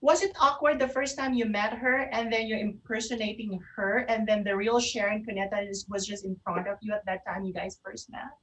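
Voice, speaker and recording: A woman's voice: mid-range voice, steady tone, fairly quick, hardly any pauses, a bit bright, low-quality recording. A woman hosting a radio show, asking interview questions conversationally.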